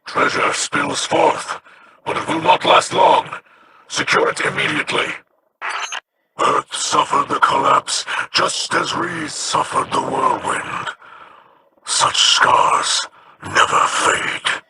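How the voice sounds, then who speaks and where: Grave alien leader, gravelly and lively, noisy game rip. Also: male voice, a little high, takes it slowly, exaggerated voice. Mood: solemn. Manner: grave, formal commands. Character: solemn old alien male leader, formal.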